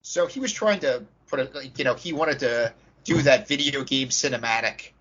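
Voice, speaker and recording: Male co-host, forceful and raspy, mid-range pitch, casual panel talk on slightly lo-fi audio. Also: normal speed. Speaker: podcast co-host. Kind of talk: casual panel discussion on tabletop role-playing. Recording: dull, band-limited audio.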